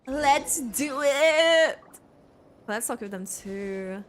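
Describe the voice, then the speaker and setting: A woman giving casual, excitable, rambling reaction commentary while playing a video game. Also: high-pitched, drawn-out words.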